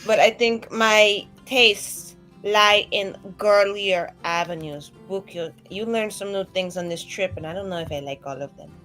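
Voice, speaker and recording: A woman's voice: medium pitch, a little slow, few pauses, a bit bright, faint music behind, lightly acted, rough recording. A woman playing a picky, girlish, outspoken young adventurer in improvised tabletop roleplay, blunt and playful. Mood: playfully disgusted.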